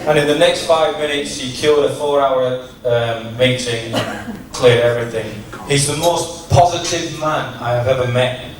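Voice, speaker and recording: A man giving a humorous after-dinner tribute speech to an audience, in a teasing, deliberate storytelling manner. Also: mid-range voice. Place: large function room at a dinner, distant microphone. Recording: echoey noisy hall, from far off.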